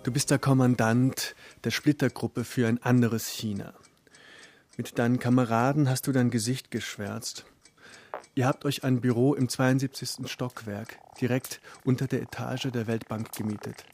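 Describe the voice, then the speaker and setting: A man's voice: mid-range voice, fairly even intonation, moderate pace, darkish tone. A man telling a radio play story in the second person, describing each action in the present tense. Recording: clear background ambience noise. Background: ambient noise.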